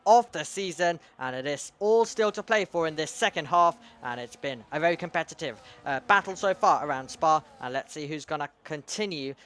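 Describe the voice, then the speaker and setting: A man with a high, bright, ringing voice calls an online racing league. He talks steadily through the standings and rises to excited shouts when cars fight wheel to wheel. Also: a little fast, hardly any pauses, clear, resonant tone. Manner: builds to excited shouts in battles. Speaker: lead race commentator. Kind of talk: sim racing play-by-play commentary.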